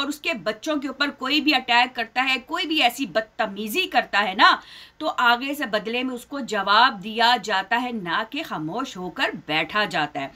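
High, bright indignant woman vlogger. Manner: indignant, scolding, repeats phrases for emphasis. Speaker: reaction vlogger. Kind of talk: heated commentary to camera.

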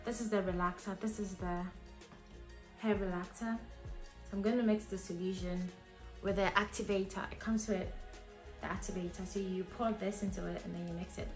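Young woman with a cold, kinda husky, chatty hair tutorial, echoey room, soft music under. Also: medium pitch, steady tone, moderate pace. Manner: chatty, thinking aloud with ums and restarts. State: a bit hoarse from a cold. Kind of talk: casual step-by-step tutorial. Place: living room at home, indoors. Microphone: camera in front of her at desk distance.

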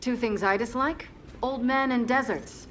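A woman's voice: fairly high pitch, a little slow, fairly bright tone, slight background noise. An actress playing a grim, determined young woman soldier in a video game. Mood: resolute. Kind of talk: video game cutscene acting.

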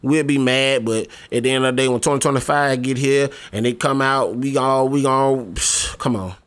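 A man's voice: medium pitch, steady tone, moderate pace, a bit bright, clean tone. Laid-back young man in his twenties. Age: 20s. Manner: laid-back, slangy, eager; pleading, repeats himself. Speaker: gaming YouTuber. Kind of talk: casual discussion vlog.

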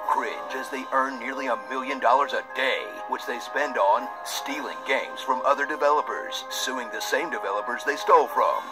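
A man's voice: medium pitch, low-quality recording. A man narrating a scripted parody game trailer in a mock-dramatic, sardonic announcer style, played back through a screen's speaker. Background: loud music.

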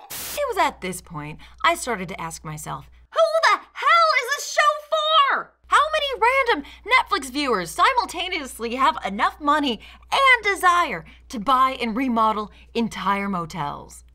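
Female video essayist, young, sarcastic and theatrical, very lively pitch, forceful bright delivery. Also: unhurried. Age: young adult. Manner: sarcastic, theatrical, mock-indignant. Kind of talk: scripted critical commentary.

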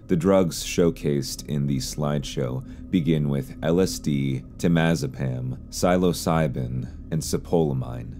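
A man reading scripted explainer narration in a measured, serious way, as a video narrator. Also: very deep voice, warm tone. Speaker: YouTube narrator.

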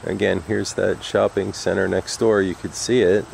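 A middle-aged man with a gravelly voice, talking casually and a little uneasily to viewers in a rambling handheld vlog. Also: deep voice, level tone, normal speed, darkish tone. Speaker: urban exploration vlogger. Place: abandoned derelict house and surrounding woods. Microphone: handheld camera at arm's length. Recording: faint outdoor noise with chirping insects.

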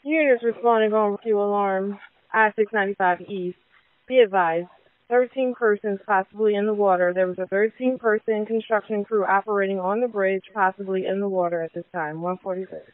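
A woman's voice: mid-range voice, fairly even intonation, fairly quick, no room sound. A woman working as an emergency dispatcher, speaking in clipped procedural style over the radio. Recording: lo-fi narrow radio dispatch audio.

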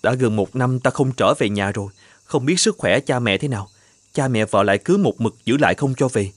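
A man voicing an earnest, devoted young bridegroom in an animated folktale, questioning and polite. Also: medium pitch, brisk, no room sound, natural voice. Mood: worried. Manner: earnest, questioning, old-fashioned polite speech. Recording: music under the voice.

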